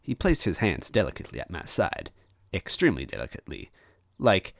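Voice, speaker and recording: A man reading a first-person story aloud as an animated narrator. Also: low voice, a little fast, gravelly, dry recording, studio quality. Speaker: story reader.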